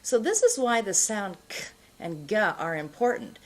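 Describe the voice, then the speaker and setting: A middle-aged woman with an American accent giving an instructional pronunciation lesson, enunciating carefully and deliberately with pauses between words. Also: medium pitch, big pitch swings. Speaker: pronunciation teacher. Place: indoor studio.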